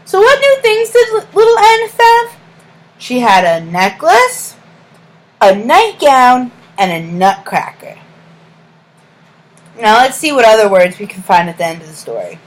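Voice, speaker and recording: A woman with a high voice reads a picture book to young children like a teacher. Her pitch swings widely in a sing-song pattern, and she takes her time over each phrase. She often turns to the children with questions and encouragement. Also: poor audio. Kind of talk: read-aloud storytelling with questions to young children.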